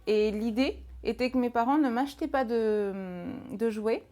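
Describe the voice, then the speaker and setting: Woman, 30s, interview guest, calm, measured, trailing off softly, higher pitch. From France.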